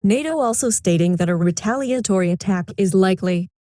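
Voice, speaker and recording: A woman as a mellow TV news announcer. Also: medium pitch, animated, brisk, no room sound, studio quality, lightly acted. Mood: serious, composed. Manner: formal, composed. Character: serious female TV news announcer. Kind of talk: scripted news bulletin.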